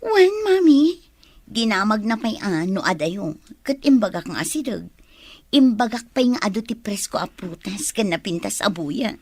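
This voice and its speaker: A woman's voice: medium pitch, big pitch swings, normal speed, a little mellow, dry recording. A woman playing an eager, obedient young secretary and daughter in a radio drama, with an Ilocano accent.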